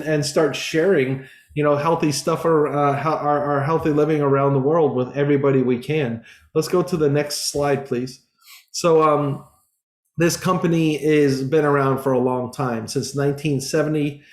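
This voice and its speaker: A man's voice: on the high side, darkish tone. Earnest man in his forties, echoey room. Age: 40s. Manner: earnest and informal, with many fillers and restarts. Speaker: business coach. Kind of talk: casual sales presentation.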